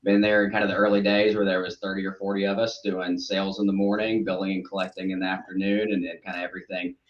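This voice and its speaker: A man with a light Southern accent talks as a podcast guest, friendly and upbeat, rolling through long explanations. His voice sits very low. The sound is roomy and echoey with a dull, muffled quality. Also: flat delivery, quick pace. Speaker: guest, brokerage executive. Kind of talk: casual podcast interview. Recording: echoey, dull remote audio.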